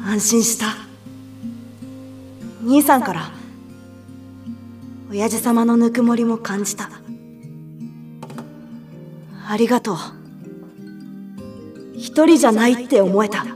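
A woman's voice: on the high side, measured pace, long pauses, over music, lightly acted. A woman playing an earnest, dutiful young girl who blames herself, in an audio drama. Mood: tender relief. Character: young girl, dutiful, anxious, self-blaming lantern bearer. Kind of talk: Japanese audio drama acting.

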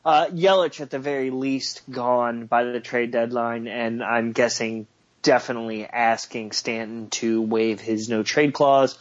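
A man on a somewhat muffled, lo-fi line, joking through a sports chat and trading wild predictions. He restarts his sentences often, at an everyday mid pitch. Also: steady tone, unhurried. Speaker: podcast co-host.

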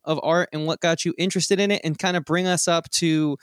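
A man's voice: fairly high pitch, steady tone, fairly quick, clean tone, dry recording, studio quality. A man hosting a casual interview, asking loose, encouraging questions. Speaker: podcast host.

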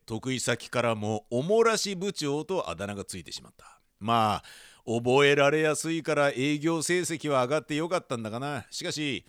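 An actor playing a gloomy, earnest office manager turned prisoner, telling his story with deadpan seriousness in a comedic radio drama. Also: fairly high pitch, smooth, dry recording, clean studio sound. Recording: music under the voice.

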